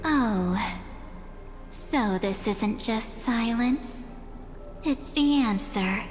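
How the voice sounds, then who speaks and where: A sly impostor in a game, unruffled and teasing. Her high voice moves extremely slowly and hangs in long reverb over faint music. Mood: probing. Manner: cool, teasing, deliberate. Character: sly, unruffled impostor possessing a young woman's body. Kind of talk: theatrical video game dialogue.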